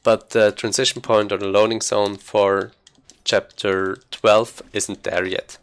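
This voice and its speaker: A man with a fairly low voice commentates his own gameplay as he goes. He speaks casually and haltingly, working out his thoughts aloud like a speedrunner explaining each step. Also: little pitch movement, clear, resonant tone.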